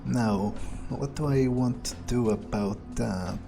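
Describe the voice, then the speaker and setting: Video game vampire, played by a man: ruthless, foul-mouthed muser, darkly amused, dark mellow tone, clear music behind. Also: mid-range voice, slow. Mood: musing, darkly amused. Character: ruthless, casually murderous male vampire thinking aloud. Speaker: gaming streamer role-playing his character. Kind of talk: in-character improvised game commentary.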